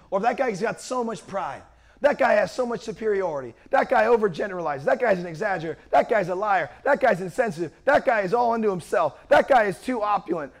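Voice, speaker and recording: Man, young pastor, sermon, strained voice, high pitch, loud projection. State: strained, worn-out voice.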